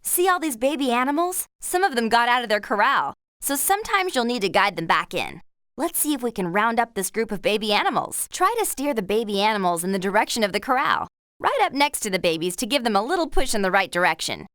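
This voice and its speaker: Smooth, bright-hearted young game guide. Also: female voice, a little high, big pitch swings, fast talker, no room sound, studio quality. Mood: friendly, guiding. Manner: clearly enunciated, friendly, instructive. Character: friendly, helpful young woman guide in a horse game. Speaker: game guide giving instructions. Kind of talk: scripted video game instructions.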